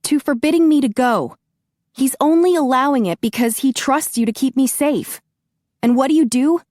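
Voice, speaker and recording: A woman's voice: sits high, moderate pace, a little mellow, no echo, clean studio sound, pitched above the performer's own voice, close to an everyday voice. A woman narrator voicing an indignant young woman who scolds in a heated, exasperated way. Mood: angry.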